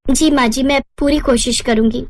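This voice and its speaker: A woman's voice: high voice, steady tone, moderate pace, slight background noise. Woman voicing a polite, eager young bride. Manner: respectful, earnest, eager. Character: polite, eager young newlywed daughter-in-law. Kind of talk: animated storybook character voice.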